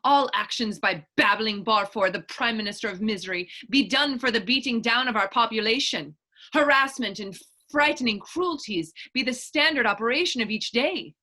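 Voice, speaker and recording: A woman's voice: on the high side, normal speed, sharp tone. A woman playing a bold, fervent Irish political idealist in a staged period drama reading, emphatic and persuasive. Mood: impassioned, defiant. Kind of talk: stage readers-theater dialogue in Irish period dialect.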